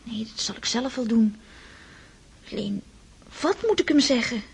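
A woman's voice: a little high, animated, measured pace, vocal fry, slightly rough, heavily acted. A woman playing a naive, emotional teenage noblewoman in a radio drama, faltering and breathless as she speaks. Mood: anxious, pleading. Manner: breathless, faltering, swooping delivery.

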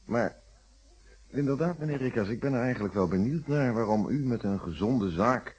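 An actor playing a calm, probing, wry male police inspector in a radio detective play, speaking Netherlands Dutch with polite, measured phrasing. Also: medium pitch, slightly rough. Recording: dull, muffled radio drama recording.

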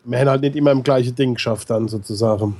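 A man's voice: mid-range voice, fast, mellow. A man who has a cold, chatting casually and teasing his co-host on a radio show. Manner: blunt, teasing, joking banter. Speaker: co-host, nurse.

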